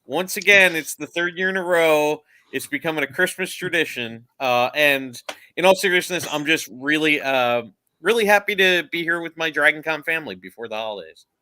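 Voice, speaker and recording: Bright, clear-voiced man, fairly high. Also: lively intonation, moderate pace, no echo. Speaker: show producer and host, panelist. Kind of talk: casual self-introduction on a panel. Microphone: video call.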